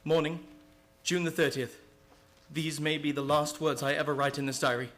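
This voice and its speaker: A man acting an anxious gentleman held prisoner, speaking in earnest, formal, dramatic fashion in an old-time radio horror play. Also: fairly high pitch, steady tone, normal speed, soft background music, lightly acted. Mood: fearful, haunted. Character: anxious English gentleman, prisoner narrating his diary.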